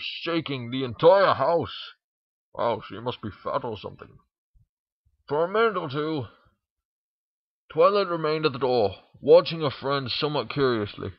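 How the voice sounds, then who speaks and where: A man's voice: mid-range voice, no room sound, muffled recording. A man reading a story aloud in an informal amateur narration, stumbling now and then. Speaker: fan fiction reader.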